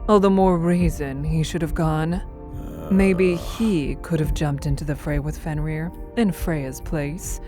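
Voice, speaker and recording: A woman playing a confident, shrewd goddess in an audio drama, speaking in a measured, wry and persuasive way. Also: sits low, steady tone, mellow. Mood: wry, probing. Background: background music.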